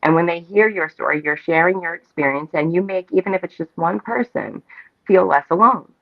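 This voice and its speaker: A woman's voice: fairly low pitch, fairly quick, creaky, dry recording, dull audio. A woman chatting in an opinionated, emphatic, repetitive way as a talk show co-host. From Staten Island, New York, United States. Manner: emphatic, repetitive, casually profane. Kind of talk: animated opinionated casual chat.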